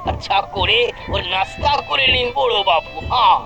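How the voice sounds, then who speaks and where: A man's voice: high-pitched, lively intonation, normal speed, vocal fry. A man voicing a sly, lazy cartoon police constable, wheedling and obsequious in Hindi-influenced Bengali. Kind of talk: exaggerated cartoon voice acting. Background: music.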